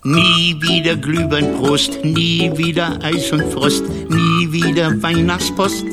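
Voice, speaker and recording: An actor playing a tired old Santa Claus who dreams of retirement, with a sighing, rhyming manner, in a children's radio play with songs. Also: male voice, a little high, level tone, slow pace, loud music behind the voice. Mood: dreamy, longing. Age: older.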